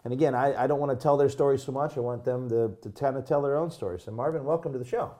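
A man's voice: medium pitch, fast, mellow. An American man from the Midwest speaking as the host of an interview podcast. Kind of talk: casual interview chat, with a read-out intro.

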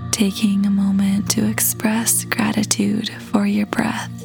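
A woman leading a guided meditation in a soft, breathy half-whisper, gentle and calm with long pauses. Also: medium pitch. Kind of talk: guided meditation, slow soothing narration. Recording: voice over prominent background music. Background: meditation music.

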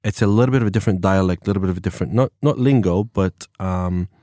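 A man with an English accent and a very low voice, chatting as a podcast guest. He wanders from point to point with lots of ums and restarts, and the studio sound is close and dry. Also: fast talker, mellow, no room sound. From England. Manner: chatty and digressive, many fillers and restarts. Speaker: podcast guest, former police officer. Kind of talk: casual conversation. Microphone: studio microphone.

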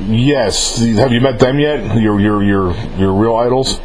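A man's voice: fairly low pitch, fairly even intonation, unhurried, a little mellow, poor audio. A man hosting a casual interview, informal and rambling, often rephrasing his questions as he goes. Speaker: interviewer relaying fan questions.

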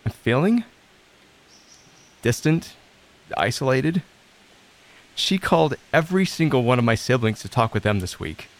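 A man acting the part of a lonely, self-doubting recovery coach in an audio drama, halting and introspective. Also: mid-range voice, big pitch swings. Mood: sad, withdrawn.

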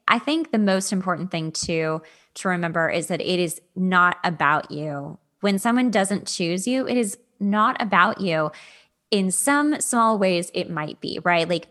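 A woman's voice: on the low side, a bit bright. A woman hosting a podcast in a casual, conversational chat style, animatedly acting out imagined remarks as she talks. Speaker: podcast host.

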